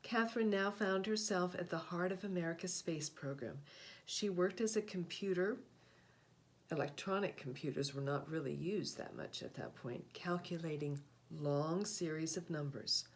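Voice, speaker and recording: A woman reading a children's picture book aloud, with deliberate, expressive phrasing and short explanatory asides. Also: on the low side. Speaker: read-aloud host, storyteller.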